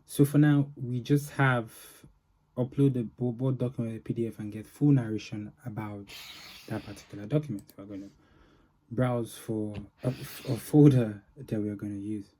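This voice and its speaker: A man giving an informal software demo as a developer, narrating in halting phrases with pauses. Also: mid-range voice, fairly even intonation, rough recording. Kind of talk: informal product demo walkthrough.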